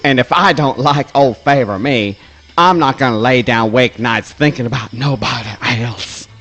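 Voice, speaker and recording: A contrary, grumbling swamp critter played by a man in a comic radio play. His middling-pitched voice speaks in folksy backwoods dialect. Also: moderate pace, fairly bright tone, soft background music, lightly acted.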